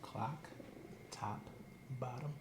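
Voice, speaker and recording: Male patient, slow, short, quiet replies; roomy, noisy recording. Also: medium pitch. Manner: brief, quiet, compliant answers. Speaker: patient in a role-play.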